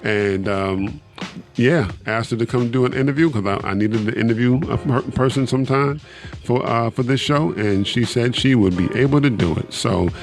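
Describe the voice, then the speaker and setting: A man hosting an interview show, speaking haltingly with many uhs and restarts. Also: mid-range voice, soft background music. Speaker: podcast host.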